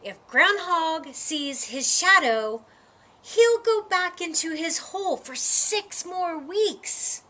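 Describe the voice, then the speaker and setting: A woman's voice: extremely high pitch, animated, slow pace, bright, forceful tone, pitched above the performer's own voice, full character voice. A woman voicing an outspoken, worried little bunny in a children's storybook, earnest and emphatic.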